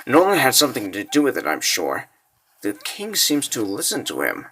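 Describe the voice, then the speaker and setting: A man's voice: medium pitch, huge pitch swings, normal speed, a bit bright, husky, full character voice. A man voicing a brave, naive, kindhearted little girl in a comic dub, with an earnest and childlike manner. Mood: earnest, hopeful. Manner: earnest, childlike, simply spoken. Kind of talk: comic-book dub diary monologue.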